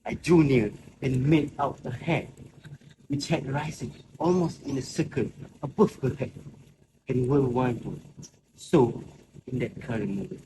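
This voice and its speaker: A man acting a solemn stranger in a student stage play, recounting things deliberately in short phrases. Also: medium pitch, close to an everyday voice. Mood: solemn recollection. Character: solemn male stranger who found a drowned woman. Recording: noisy amateur recording with ambient sound.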